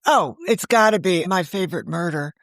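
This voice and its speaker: A woman's voice: lowish voice, lively intonation, moderate pace, no room sound. A woman novelist talking as an interview guest, telling stories in a chatty way and laughing often. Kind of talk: casual interview chat.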